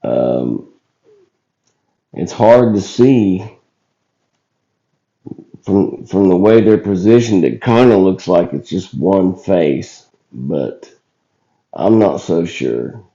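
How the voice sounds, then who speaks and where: A man hosts casually in a deep, very mellow and dark voice, rough and hoarse from illness. He talks slowly and haltingly, in plain folksy words. The recording is slightly dull and lo-fi. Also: very animated. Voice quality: rough, scratchy voice. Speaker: video channel host. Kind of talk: casual footage commentary.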